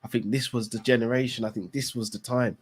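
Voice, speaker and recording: A man's voice: mid-range voice, fairly even intonation, quick pace, slightly rough. A man hosting a football recap channel, talking casually and emphatically with strong opinions, his voice bunged up from a cold. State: congested from a cold.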